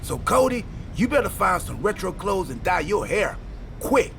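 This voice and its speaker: A man playing an excitable, goofy, scatterbrained pro wrestler in a video game cutscene, earnestly delivering absurd ideas. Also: high-pitched, moderate pace, gravelly, slight background noise, exaggerated voice. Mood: excited.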